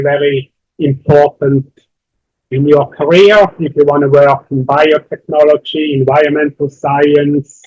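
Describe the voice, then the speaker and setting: A man from Switzerland with a Swiss accent, not a native English speaker, giving a workshop lecture slowly and deliberately. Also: on the high side, darkish tone, dry recording, rough recording. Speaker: workshop instructor.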